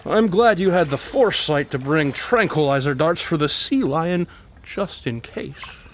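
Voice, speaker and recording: A smug, eccentric male detective in a radio drama. He speaks slightly high, breezy and self-assured, correcting people pedantically, over faint background ambience and noise. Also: lively intonation, unhurried. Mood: nonchalant.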